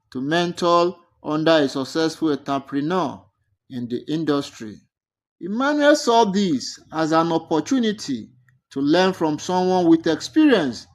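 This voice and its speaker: Storyteller narrating a folktale, takes it slow, pitch moves up and down a lot.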